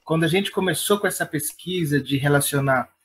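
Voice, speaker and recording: A man's voice: fairly high pitch, fairly quick, fairly bright tone, slight background noise. A man with a São Paulo Brazilian accent, speaking reflectively and a little hesitantly as an interviewed theatre artist. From Perus, São Paulo, Brazil. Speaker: interviewed playwright and theatre director.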